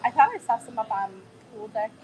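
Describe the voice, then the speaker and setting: A woman travel vlogger with a cold, her voice a bit hoarse, chatting in a bubbly, gushing way to her live viewers from a bar. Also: a little high, brisk, a crowd in the background. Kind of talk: casual live-stream chat with viewers. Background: music playing in the bar.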